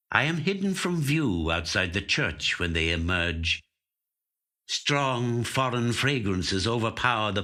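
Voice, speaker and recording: An actor voicing an aging, watchful, covetous magpie in measured, deliberate first-person literary storytelling. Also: male voice, fairly low pitch, pushed and bright, full character voice. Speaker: actor reading a short story. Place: recording studio.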